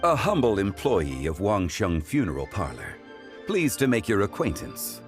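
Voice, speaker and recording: Scholarly gentleman, low male voice, courteous, formal, measured, varied intonation, game dialogue. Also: huge pitch swings, soft background music. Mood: polite. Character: calm, courteous, scholarly ancient gentleman consultant. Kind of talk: video game voice acting.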